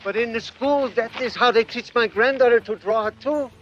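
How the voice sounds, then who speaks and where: A man's voice: extremely high pitch, normal speed, a bit bright, a little hiss or hum. An actor playing an eager, fawning old village grandfather in a film. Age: older. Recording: dull film soundtrack with music.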